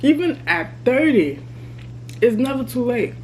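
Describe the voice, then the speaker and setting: A woman in her thirties talking candidly to her vlog camera while she eats, chatty and relaxed. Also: fairly high pitch, unhurried, a little hiss or hum, low-quality recording. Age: 30s. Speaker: vlogger. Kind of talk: casual mukbang vlog chat. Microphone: camera mic.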